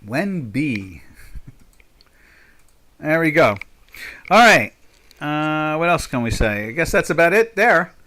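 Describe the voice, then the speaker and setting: A man's voice: on the high side, very animated, takes it slowly, long pauses, sharp tone, a little hiss or hum. Older man, South Jersey accent, trading-stream host, rambling, chatty, thinking aloud. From Pinelands of South Jersey. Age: 60s. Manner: rambling, chatty, many fillers and restarts. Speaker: market trader and stream host.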